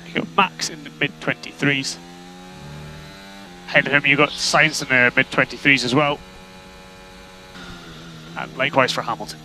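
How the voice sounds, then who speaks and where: A man's voice: mid-range voice, fairly even intonation, moderate pace, long pauses, sharp tone. A man working as a race engineer, giving terse, repeated instructions over motor racing team radio. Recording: noisy team radio with electrical hum.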